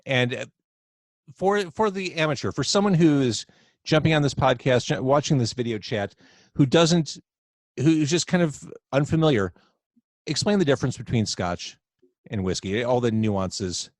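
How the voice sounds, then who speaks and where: A man's voice: medium pitch, normal speed, no echo. A man hosting a casual video chat podcast from home, with a jokey, playful manner. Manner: lively, jokey. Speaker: podcast host. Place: at home in quarantine. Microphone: video call.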